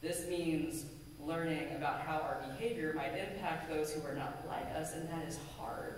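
A woman minister giving a measured, earnest sermon from a pulpit in a church sanctuary, with a pulpit microphone and a distant camera. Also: low voice, a little hiss or hum. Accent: American. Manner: measured, deliberate, earnest preaching with emphatic lists. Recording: echoey sanctuary from far off.

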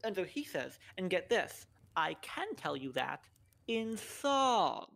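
A woman's voice: on the low side, big pitch swings, slow pace, creaky, a bit bright, exaggerated voice. A woman playing an earnest, opinionated friend who tells a story matter-of-factly in a comic radio play. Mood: earnest storytelling.

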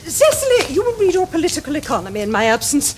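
An actress playing a prim, stern middle-aged English governess in a period comedy, with precise, pompous diction. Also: female voice, high voice, very animated, fast talker, noisy background. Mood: coy, delighted. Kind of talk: period comedy screen acting.

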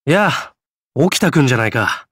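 A man playing an affable, gentle gentleman adventurer in a game, speaking politely and breezily. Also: medium pitch, animated, normal speed, a little hiss or hum, natural voice. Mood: easygoing. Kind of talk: game character voice lines.

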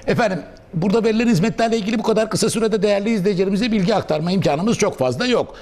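A man's voice: high-pitched, racing, a little mellow. A middle-aged man hosting a promotional interview in a cafe, speaking formally and ornately and often finishing his guest's sentences. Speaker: interviewer host. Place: indoor cafe restaurant.

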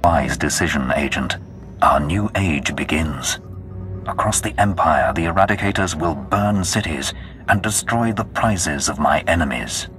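An actor playing a menacing, pompous Sith lord in a video game, commanding and deliberate. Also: male voice, very deep voice, music in the background. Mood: imperious. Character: menacing, imperious male Sith lord seeking to rule. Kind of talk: dramatic video game voice acting.

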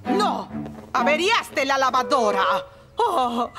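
An exasperated cartoon mum, scolding and excitable. Her voice is very high and swoops about in Latin American Spanish, over loud music. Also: takes it slowly, heavily acted. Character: exasperated, excitable mother of a naughty boy. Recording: loud music under the dialogue.

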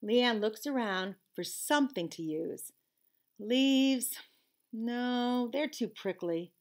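Higher-pitched woman reading to children. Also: expressive, takes it slowly. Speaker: teacher reading aloud to children. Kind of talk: expressive picture-book read-aloud with asides. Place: classroom, indoors.

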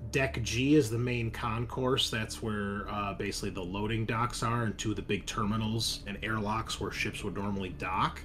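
A man's voice: lowish voice, fairly even intonation, moderate pace, hardly any pauses. A man narrating casually as game master of a tabletop roleplaying game. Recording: noticeable background noise.

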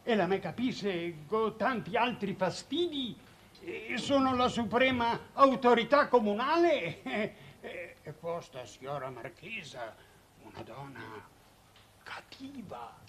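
An actor playing a fussy, timid elderly village official with a Venetian accent, stammering and deferential in a 1950s Italian television period drama. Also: male voice, high voice, expressive, slow, a bit bright, exaggerated voice. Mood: fearful, hesitant. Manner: stammering, hesitant, obsequious. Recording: noisy old 1950s TV soundtrack.

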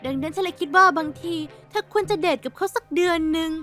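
A woman's voice: very high-pitched, fast talker, sharp tone, faint music behind. A woman as a scheming popular teen girl. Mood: persuasive. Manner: pleading, persuasive.

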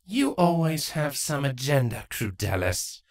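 A man's voice: mid-range voice, big pitch swings, measured pace, pitched above the performer's own voice. A man voicing a stern, protective changeling queen, formal, curt and wary, in a dramatic fan fiction reading. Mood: cool disdain.

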